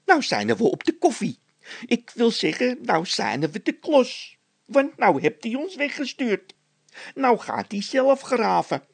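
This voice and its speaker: A man's voice: very high-pitched, sing-song, measured pace, gravelly, slightly rough, no echo, voice pushed up, heavily acted. A man voicing an irritable, scheming workman who scolds and exclaims indignantly in a storybook. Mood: angry, lamenting.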